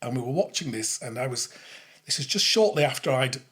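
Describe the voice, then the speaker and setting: A man, chatting as a podcast guest in a halting, self-correcting way. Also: medium pitch, lively intonation, a bit bright, slightly rough. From Yorkshire, England. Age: 60s. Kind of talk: candid conversational interview, anecdotes.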